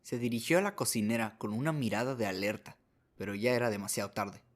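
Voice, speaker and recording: A man's voice: on the low side, fast talker. A man narrating a short story as a scripted radio drama, reading as the narrator.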